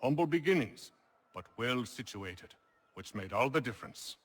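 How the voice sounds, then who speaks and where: An actor playing a genial, well-spoken colonial landowner and merchant, articulate and wry, in a video game cutscene. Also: male voice, mid-range voice, animated, normal speed, husky. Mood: wry, reminiscing.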